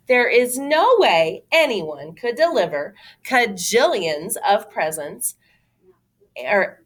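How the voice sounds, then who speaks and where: A woman's voice: medium pitch, a bit bright, exaggerated voice. Woman voicing a smug, scornful storybook boy. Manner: insistent, lecturing. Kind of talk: children's storybook read-aloud.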